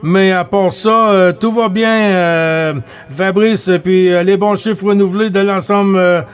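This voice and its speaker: A man with a Québécois French accent hosts a CB radio net, passing the mic and greeting stations in a relaxed, rambling way. His voice sits quite high for a man. He fills gaps with plenty of hesitations and tag words. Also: smooth, faint music behind. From Quebec. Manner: unhurried, chatty, frequent hesitations and tag words. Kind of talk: casual radio chat running a net.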